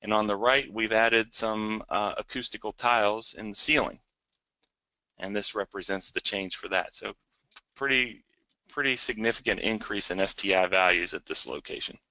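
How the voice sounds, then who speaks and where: Male webinar presenter, lowish bright voice, dry close sound, halting unscripted technical delivery. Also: no room sound, poor audio. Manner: hesitant, halting delivery with repeated words.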